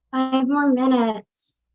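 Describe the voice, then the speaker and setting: A woman's voice: high-pitched, fairly even intonation, drawn-out words, a little mellow, dull audio, soft background music. A woman playing a sullen, sarcastic seventeen-year-old daughter in a staged reading of a drama, dry and grudging.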